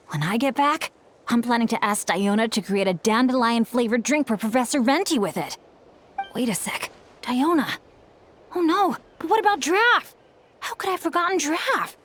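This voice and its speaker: Woman voicing a sweet, high-voiced young adventurer. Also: a little hiss or hum, natural voice. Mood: happy, chatty, then flustered. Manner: heartfelt, expressive. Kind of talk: video game voice acting.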